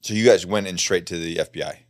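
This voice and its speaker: A man's voice: sits low, steady tone, quick pace, dry recording, studio quality. A man hosting a casual interview, mostly giving short affirmations and easy follow-up questions. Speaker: podcast host.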